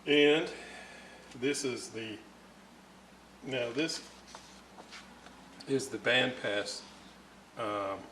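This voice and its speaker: A man giving an unscripted, hands-on technical explanation in a methodical, halting way, often searching for words. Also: mid-range voice, a bit bright, a little hiss or hum, rough recording. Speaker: radio restorer presenting repair videos.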